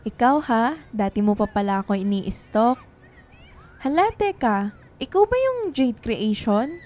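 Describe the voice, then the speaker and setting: Woman acting earnest, soft-toned young writer Lila. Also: fairly high pitch, very animated, unhurried. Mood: affectionate. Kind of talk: radio drama acting.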